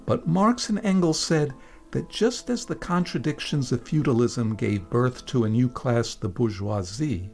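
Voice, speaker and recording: A man reads scripted radio narration at a middling pitch. His delivery is measured and explanatory, like a presenter setting up a reading. Faint music plays behind him. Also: expressive, a little mellow.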